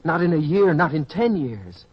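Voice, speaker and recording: Husky, restless young farm son. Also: male voice, on the high side, big pitch swings, moderate pace. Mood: determined. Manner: earnest, insistent. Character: restless, ambitious, determined young farmer's son. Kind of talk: old-time radio drama acting. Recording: dull lo-fi radio recording.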